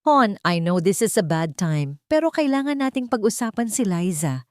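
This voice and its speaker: A woman voicing a scheming young fiancée in a story, sweetly manipulative, soft, coaxing and insinuating. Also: mid-range voice, very animated, normal speed, no echo, clean studio sound, natural voice.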